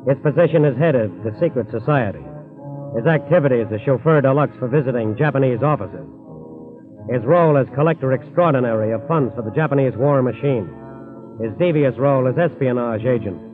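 A man's voice: medium pitch, fairly quick, lightly acted. A man narrating a 1940s radio crime drama in a solemn, emphatic, dramatic style. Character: grave, dramatic male documentary crime narrator. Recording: tinny 1940s radio recording. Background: music.

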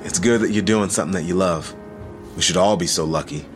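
Male drama role, acted: low-pitched, reserved, candid survivor, hesitant natural delivery, music underneath. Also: fairly quick, close to an everyday voice. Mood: tentative, polite. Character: reserved male trauma survivor working in game design. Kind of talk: naturalistic audio drama dialogue.

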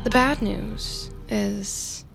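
An actress playing an upbeat, theatrical vampire's familiar with a killer streak in an audio drama. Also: female voice, medium pitch, fairly even intonation, extremely slow, gravelly, music in the background, full character voice. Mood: giddy, confessional.

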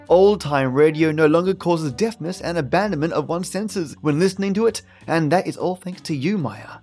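A man's voice: a little high, darkish tone. A man hosting a podcast, chatty and scripted, in a playful, exaggerated, matey manner. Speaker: podcast host. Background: guitar music.

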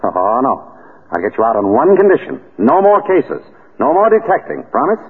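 A wry, teasing detective. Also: male voice, mid-range voice, big pitch swings, normal speed, slightly rough. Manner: dry, teasing. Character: wry, easygoing detective husband. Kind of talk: 1930s radio drama acting. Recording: tinny old radio broadcast.

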